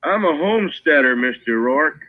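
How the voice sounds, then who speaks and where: A defiant frontier farmer played by a man in a vintage radio western. He speaks in a higher male voice, plain, folksy and unflinching. The recording is dull and lo-fi. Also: lively intonation, moderate pace, noisy background, close to an everyday voice. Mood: steady defiance. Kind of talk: 1950s radio western acting.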